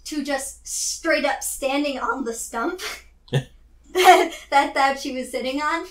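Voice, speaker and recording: A woman playing a tabletop role-playing game, narrating casually in an animated, giggly, rambling way. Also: high voice, takes it slowly, roomy sound.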